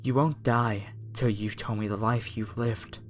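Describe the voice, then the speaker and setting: A man playing a fanatical masked cultist captor in a horror ASMR roleplay, speaking with reverent, preacherly menace and deliberate pauses. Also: fairly low pitch, fairly even intonation, soft background music, natural voice. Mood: ominously soothing.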